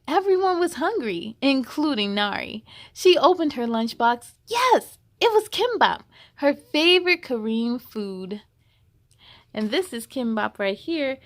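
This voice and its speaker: A woman with a high voice hosts story time for children. She takes her time, reading picture-book lines with lots of expression and speaking directly to her young audience in a sing-song way.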